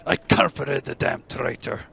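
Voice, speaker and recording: A man playing a big-hearted, rough groundskeeper in an audio play, gruff and emotional, with a gravelly voice. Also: medium pitch, wildly swooping pitch, a little slow, fairly bright tone, heavily acted. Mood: grieving outrage.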